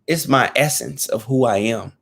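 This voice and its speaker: A man's voice: medium pitch, normal speed, gravelly, a bit bright, a little hiss or hum. A man in his thirties talking casually to camera as a fitness vlogger, earnest and repetitive, restating his points in informal slang. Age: 30s.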